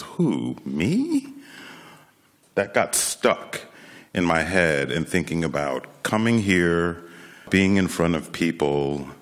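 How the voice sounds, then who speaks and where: An American man in his late fifties telling a personal story to an audience in a reflective, conversational way, with pauses for emphasis. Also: low-pitched. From Rochester, New York, United States. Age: late 50s, nearly sixty.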